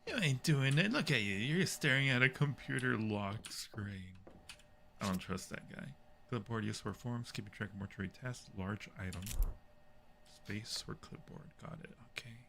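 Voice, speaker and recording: A man's voice: fairly low pitch, moderate pace, sharp tone. A man casually commenting on a game for his stream viewers, playfully muttering to himself and reading prompts aloud. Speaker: livestream host playing a horror game. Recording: clearly noisy background.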